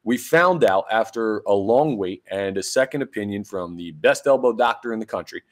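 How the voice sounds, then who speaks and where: A young man hosts a sports podcast on his own, his voice a little hoarse and deeper than usual after a night out. He talks casually and rambles with fillers. When things go wrong he turns dry and sarcastic. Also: animated, moderate pace. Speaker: podcast host. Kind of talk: casual solo sports talk.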